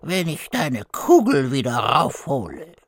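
A man voicing a male frog in a fairy tale, in a high, drawn-out, croaking way. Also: wildly swooping pitch, dry recording, full character voice. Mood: pleading. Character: pleading slow-voiced male frog.

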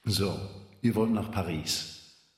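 An actor playing a composed, betrayed husband who hides in matter-of-factness, restrained in a German literary radio play. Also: male voice, low-pitched, takes it slowly, background noise. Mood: stiffly composed. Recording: echoing room with long reverb.

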